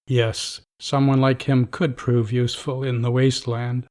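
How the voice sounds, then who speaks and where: An actor playing a stern middle-aged vault overseer who talks in a dry, dismissive, rule-bound way. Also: male voice, mid-range voice, little pitch movement, measured pace, darkish tone, natural voice. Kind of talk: audio drama acting.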